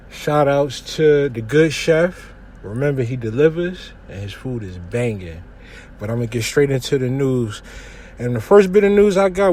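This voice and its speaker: A young man from hosting a casual solo podcast from his kitchen, giving news commentary in a relaxed, slangy way with lots of shout-outs. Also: on the high side. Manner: laid-back slangy talk with frequent shout-outs. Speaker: podcast host.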